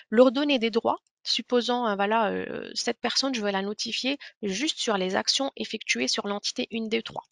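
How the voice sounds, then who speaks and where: Bright, creaky-voiced woman presenting software. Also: medium pitch, no room sound, clean studio sound. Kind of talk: software demo walkthrough.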